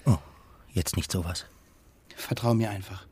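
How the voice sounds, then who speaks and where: A man's voice: deep voice, unhurried, darkish tone, slightly rough, heavily acted. An actor playing a tired, evasive family man and lover who placates others in naturalistic radio drama dialogue. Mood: irritated, evasive.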